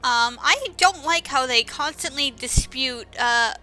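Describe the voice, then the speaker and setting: Female game commentator, high and piercingly bright, sarcastic and informal, casual delivery over game music. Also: unhurried. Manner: sarcastic, exclamatory; informal, swearing. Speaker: gameplay commentator.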